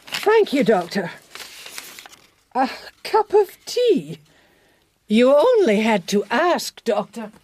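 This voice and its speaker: An actress playing a tart, brusque, eccentric Scottish spinster in a radio drama, with a huffy, clipped manner. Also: female voice, on the high side, huge pitch swings, slow, pauses often, a little mellow, no room sound, heavily acted. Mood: pointedly civil.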